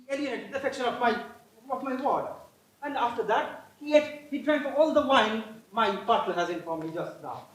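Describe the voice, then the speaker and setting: A stage performer playing a stiff, formally polite and aggrieved Victorian gentleman guardian in a comedy, with halting, fussy delivery. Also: medium pitch, lightly acted. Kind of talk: theatrical stage comedy acting. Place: indoor stage, far from microphone. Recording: very echoey distant stage play recording.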